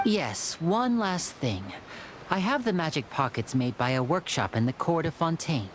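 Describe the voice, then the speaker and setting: A man's voice: on the high side, big pitch swings, normal speed, fairly bright tone, a little hiss or hum. An actor playing a polite, theatrical young male magician in an anime video game. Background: game music.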